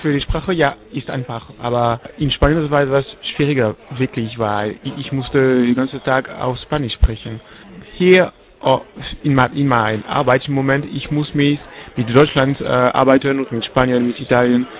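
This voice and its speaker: A man with a French accent answers questions in German, stopping often and repeating words while he looks for the next one. His pitch sits in a middle range for a man. The recording is narrow and tinny, like a phone line on the radio. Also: fairly even intonation, normal speed. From France. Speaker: interviewee. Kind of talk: halting interview answers.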